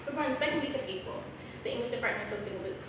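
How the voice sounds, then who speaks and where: A frank academic mentor, played by a woman in a staged reading. She talks quickly, and the room rings heavily around her from a distant, noisy mic. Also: on the high side, natural voice. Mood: matter-of-fact. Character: frank young woman English teacher and PhD candidate. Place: small theater, live stage reading. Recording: echoey theater, distant mic, clear noise.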